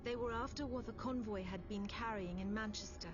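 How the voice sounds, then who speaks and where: Actress as British female military officer, game narrator: composed, grave and measured, slightly muffled audio. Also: medium pitch, background noise, close to an everyday voice. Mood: grave, foreboding. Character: composed British female intelligence officer recounting a grim war. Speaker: story narrator. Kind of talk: scripted dramatic narration. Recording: dull lo-fi game audio, music behind. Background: loud background music.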